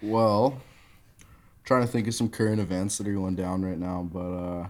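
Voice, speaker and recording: A man co-hosting a podcast among friends, easygoing and jokey with casual swearing. His voice sits somewhat low and sounds a little congested. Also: flat delivery, normal speed. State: congested from a sore throat. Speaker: podcast co-host. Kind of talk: casual chat.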